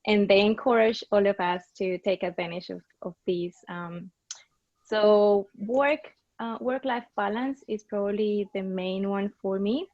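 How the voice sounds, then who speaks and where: A woman's voice: mid-range voice, a little slow, no echo. A woman from Colombia with a Colombian Spanish accent, sharing a personal story openly on a panel over a video call. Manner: friendly, open, with fillers. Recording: dry, dull lo-fi video call.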